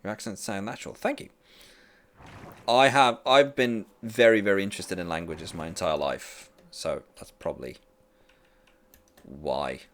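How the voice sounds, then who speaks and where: Deep-voiced Norwegian man with a sore throat. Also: unhurried, long pauses. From rural Western Norway. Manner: chatty, rambling, many restarts. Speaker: streamer. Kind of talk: casual chat while gaming. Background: faint music.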